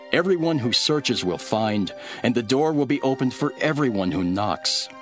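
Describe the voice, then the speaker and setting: An actor playing a calm, authoritative male teacher telling parables in an earnest, deliberate scripture drama. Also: medium pitch. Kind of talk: dramatised scripture reading, measured storytelling.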